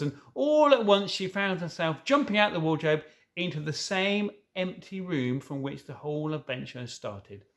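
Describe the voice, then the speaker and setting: A man narrating a children's story as a reader, somewhat haltingly, correcting himself now and then. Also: high-pitched. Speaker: audiobook reader.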